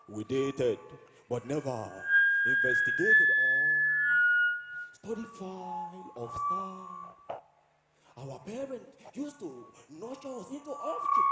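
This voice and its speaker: A man on stage playing a solemn poet-narrator, reciting in a deliberate, declamatory way. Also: on the high side. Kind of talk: stage spoken-word recitation. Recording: reverberant hall, faint noise.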